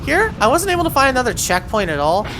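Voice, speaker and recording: A woman's voice: high voice, sing-song, fast, background noise. A woman giving an instructional voiced game walkthrough, guiding the player step by step with words of caution. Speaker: video game guide host.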